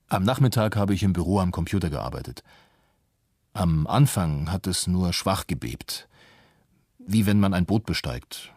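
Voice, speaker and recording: A measured eyewitness parent in radio drama: lowish, husky, dry sound. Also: male voice, a little mellow, dry recording. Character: Japanese parent recounting an earthquake day in measured detail. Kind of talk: scripted radio play monologue.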